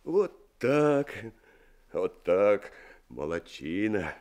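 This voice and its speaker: A kind, reassuring friend. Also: male voice, on the low side, big pitch swings, extremely slow, exaggerated voice. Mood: soothing. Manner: soothing, warmly reassuring. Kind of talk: Soviet radio drama acting.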